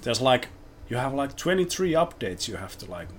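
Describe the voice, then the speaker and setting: A man's voice: mid-range voice, big pitch swings, moderate pace, crowd noise. Finnish man chatting with many fillers. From Finland. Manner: rambling, many fillers like "you know" and "like". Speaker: podcast guest. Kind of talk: casual chat.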